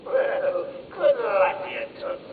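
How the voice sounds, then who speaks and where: An actor playing a gleefully cruel male clown villain in a video game, taunting in a theatrical manner that swings from sing-song glee to shouting. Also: very high voice, wildly swooping pitch, slow pace, reverberant, narrow, tinny audio, noisy background, heavily acted.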